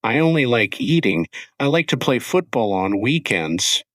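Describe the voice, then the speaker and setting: Man co-hosting a slow English lesson. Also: mid-range voice, big pitch swings, a bit bright, no echo, clean studio sound. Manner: clear, simple sentences, friendly teaching tone. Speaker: podcast co-host. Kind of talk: slow scripted English-learning dialogue.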